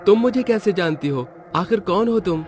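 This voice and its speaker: A man's voice: high-pitched, animated, moderate pace. A man playing an earnest, brave young villager in a cartoon horror story, speaking with urgent drama. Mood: angry, demanding. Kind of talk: Hindi cartoon horror voice acting. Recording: background music under the voice.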